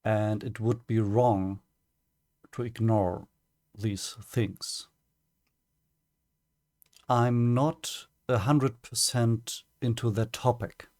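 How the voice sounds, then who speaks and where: A man with a German accent and German as his first language, giving a prepared personal statement as a streamer, careful and hesitant with many pauses and restarts. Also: mid-range voice, fairly even intonation, a little mellow, no echo, studio quality.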